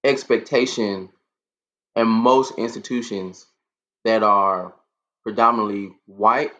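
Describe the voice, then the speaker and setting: A man speaking thoughtfully in a casual panel discussion, pausing often with fillers. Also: lowish voice, fairly even intonation, fairly bright tone. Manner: thoughtful, reflective, lots of fillers.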